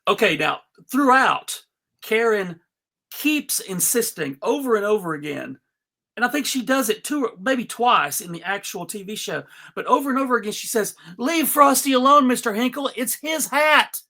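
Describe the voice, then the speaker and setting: A man presenting an informal live script reading, halting and amused, with frequent asides. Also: sits high, animated, creaky, bright, forceful tone. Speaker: panel reader and presenter.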